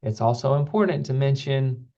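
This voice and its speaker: A man's voice: mid-range voice, normal speed, mellow. A man giving an informational presentation as a student program staffer, earnest and explanatory, repeating words for emphasis. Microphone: online call microphone. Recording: slightly dull, lo-fi call recording.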